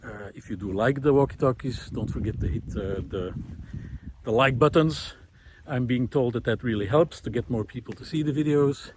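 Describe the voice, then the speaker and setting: A man's voice: medium pitch, moderate pace, background noise. A man vlogging outdoors as he walks, giving a reflective, unscripted monologue in a hesitant, musing way with trailing asides. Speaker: vlogger hosting a walking talk series. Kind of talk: reflective unscripted monologue to camera while walking. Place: outdoors, walking through a village among vineyards.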